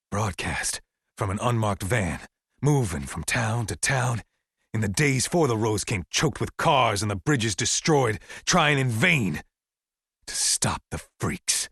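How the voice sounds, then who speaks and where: An actor playing a middle-aged, paranoid survivalist militia leader with an American accent, giving folksy, emphatic radio monologues in a video game. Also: male voice, fairly low pitch, animated, fairly bright tone, husky, no room sound, clean studio sound, full character voice. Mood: grave, righteous. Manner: folksy, preachy, deliberate, emphatic. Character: paranoid male survivalist militia leader broadcasting anti-government radio sermons. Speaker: pirate radio broadcaster and camp leader.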